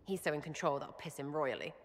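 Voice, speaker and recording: A woman's voice: sits low, fast talker, big-room sound, a little hiss or hum, lightly acted. A woman playing a mischievous, blunt young elven rebel in a video game, with an English accent and a cheeky, slangy, irreverent way of talking.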